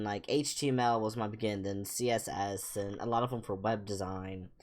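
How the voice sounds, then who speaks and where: A young man chatting casually as a livestream host, rambling informally with a self-deprecating manner. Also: medium pitch. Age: young adult. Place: small home room, indoors.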